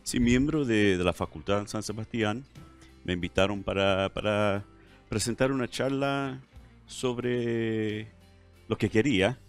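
A man's voice: on the low side, measured pace, smooth, soft background music. A middle-aged man from California speaking Spanish with a mixed Chilean, Mexican and American accent, hesitant with many fillers as an interview guest in a studio. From California, United States. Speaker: guest historian. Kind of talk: relaxed interview conversation. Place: TV studio set. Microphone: headset mic.

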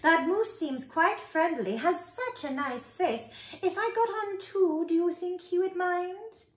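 A woman voicing a small, polite tree spider in a storybook, genteel and flattering. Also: very high voice, unhurried, reverberant, voice pushed up. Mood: flattering, delighted.

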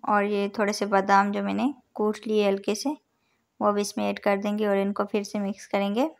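A woman with an Urdu-influenced accent talks viewers through a recipe as a cooking channel host. Her voice has a gravelly edge and stays very even in pitch. She explains each measure in a calm, patient voice-over. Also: moderate pace. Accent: Urdu-influenced Hindustani. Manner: calm, instructive, counts out measures. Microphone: voice-over over overhead cooking shots.

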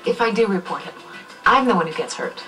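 A woman's voice: mid-range voice, expressive, brisk, bright, forceful tone, faint music behind. A woman acting as a harassed, resigned order clerk in a workplace training drama. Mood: bitter, resigned. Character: harassed, resigned female order clerk, anxious about her career. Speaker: actor in a training film. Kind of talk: acted corporate training drama. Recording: distant, re-filmed off a TV screen.

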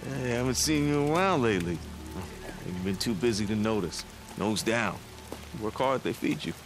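An actor playing a chatty, evasive camp mechanic in a video game, rambling in a folksy way. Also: male voice, mid-range voice, lively intonation, normal speed, over music, close to an everyday voice. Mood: easygoing, philosophical. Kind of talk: naturalistic cinematic game acting.

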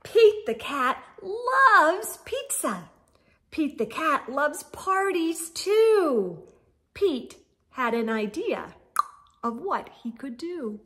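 A middle-aged woman reading a children's storybook aloud with expressive, exaggerated emphasis and sing-song refrains. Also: high voice, drawn-out words. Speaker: storytime read-aloud host. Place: indoor room with bookshelves.

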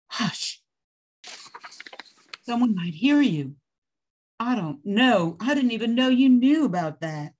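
A woman's voice: fairly high pitch, sing-song, takes it slowly, pauses often, a little mellow, a little hiss or hum. An older woman playing a secretive, easily flustered widowed mother in an amateur radio play, with a hesitant manner. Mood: hushed, uneasy. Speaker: amateur radio drama performer. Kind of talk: old-time radio play acting.

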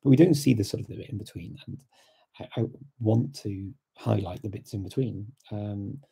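Gravelly dark-voiced middle-aged man, webcam chat. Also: on the low side, moderate pace. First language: Dutch. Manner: thoughtful, with frequent ums and repeated words. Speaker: language learning channel host. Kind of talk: casual live chat answering viewer questions. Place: small indoor room with a plain wall. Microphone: webcam at desk, close framing.

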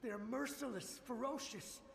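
An actor playing a desperate samurai soldier haunted by monsters in a video game, speaking in an urgent, dramatic way. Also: male voice, very high voice, moderate pace, gravelly, a little hiss or hum. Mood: desperate warning. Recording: roomy long reverb, music behind.

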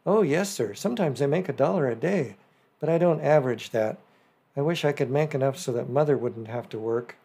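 A man's voice: medium pitch, fairly quick, warm tone, husky. A man voicing a shrewd, polite fifteen-year-old newsboy who talks eagerly and respectfully.

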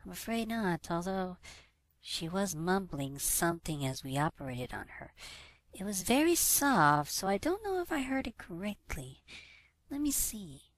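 Guy doing a soft-spoken lady nurse pony in a story, earnest n careful, pitched up. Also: big pitch swings, measured pace, no room sound, heavily acted. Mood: hesitant.

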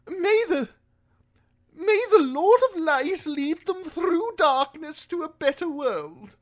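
A man voicing a pompous older highborn lord, a pampered prisoner with a screechy edge, in a fantasy audiobook. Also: animated, takes it slowly, full character voice. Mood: pious, self-absorbed. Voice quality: shrill, screechy when upset. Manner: pompous, theatrical, aristocratic.

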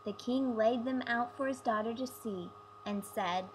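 A woman's voice: on the high side, measured pace, faint music behind, low-quality recording. A woman reading a children's fairy tale aloud as a storybook narrator. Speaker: storybook reader.

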